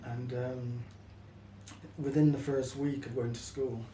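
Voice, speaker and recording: Mellow older man reminiscing, echoey room. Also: mid-range voice, little pitch movement, slow. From Caribbean, then England from childhood. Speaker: interviewee. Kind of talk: personal storytelling in an interview. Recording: echoey room, distant mic, faint noise.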